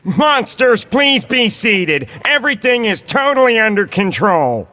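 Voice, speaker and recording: High-voiced, fussy monster principal. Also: male voice, sing-song, a little slow, noisy background. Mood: flustered reassurance. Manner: formal, announcing to an assembly. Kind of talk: animated children's story dialogue.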